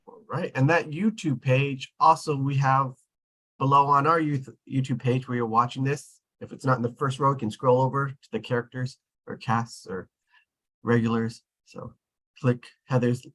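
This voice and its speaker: A man's voice: medium pitch, fairly bright tone. Man hosting, casual and chatty. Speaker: host. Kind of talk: casual chat, introducing guests. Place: indoors, on a video call.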